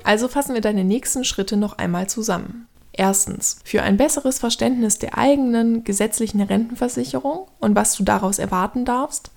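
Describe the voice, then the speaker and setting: A woman's voice: medium pitch, gravelly, darkish tone. A woman aged thirty giving scripted explanatory narration as a podcast host, instructing the listener directly in a structured way.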